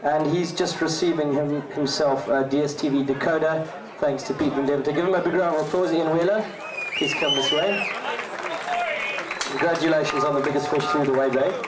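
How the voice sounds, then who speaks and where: A man's voice: a little high, steady tone, a little fast. A man making prize announcements to the public at an event. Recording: echoey, distant, very noisy crowd. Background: loud crowd and outdoor noise.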